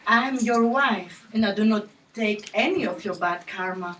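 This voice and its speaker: A woman's voice: mid-range voice, measured pace, a bit bright, slight background noise, natural voice. A woman acting a stern wife who scolds her husband. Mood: indignant. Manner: indignant, laughing scold. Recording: echoey room, far from mic.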